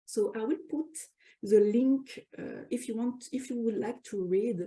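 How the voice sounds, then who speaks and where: A woman with a French accent hosting a webinar on a video call, halting often as she introduces the program. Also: a little high, warm tone. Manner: many fillers and restarts, halting English. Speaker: webinar host and co-editor. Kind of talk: hesitant welcoming introduction.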